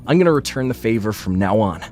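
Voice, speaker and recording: A man's voice: on the low side, lively intonation, fast, darkish tone, close to an everyday voice. A man playing a devoted, protective young man in a scripted manga dub, speaking earnestly. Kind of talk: scripted comic dub acting. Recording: music bed under the voice.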